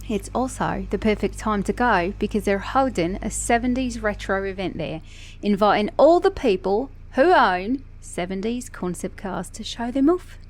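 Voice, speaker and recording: A woman's voice: mid-range voice, close to an everyday voice. A woman with a mixed Australian and British accent playing a flirty, sassy, teasing car mechanic in an ASMR romance roleplay. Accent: Australian-British mix. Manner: flirty, teasing, playful, with soft chuckles.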